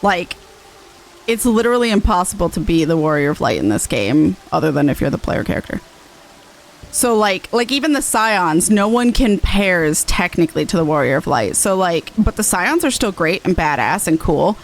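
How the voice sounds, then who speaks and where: A woman's voice: on the low side, sing-song, moderate pace, low-quality recording. A woman giving casual, slangy livestream commentary while playing a game. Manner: slangy, informal. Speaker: game streamer.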